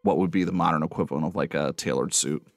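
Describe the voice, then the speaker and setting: A man acting as game master, narrating a tabletop game casually with halting phrasing and asides. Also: very deep voice, vocal fry, no room sound, soft background music.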